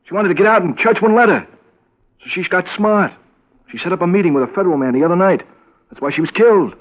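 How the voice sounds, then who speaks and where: An actor playing a guilt-ridden small-time American crook in a radio crime drama, agitated and halting, repeating himself insistently. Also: male voice, sits high, expressive. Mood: desperate, insistent. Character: guilt-ridden, nervous male small-time drug peddler turned informant. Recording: muffled old radio broadcast recording.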